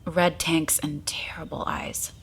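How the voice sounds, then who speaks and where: A woman's voice: deep voice, very animated, takes it slowly, gravelly, husky, in a whisper, exaggerated voice. A woman playing a troubled, idealistic young woman in an audio drama, guarded, weary and prickly.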